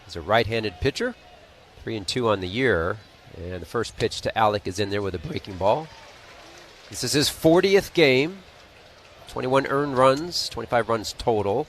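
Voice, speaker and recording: Animated American baseball play-by-play man. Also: medium pitch, moderate pace, a little hiss or hum. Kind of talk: live radio play-by-play commentary, conversational.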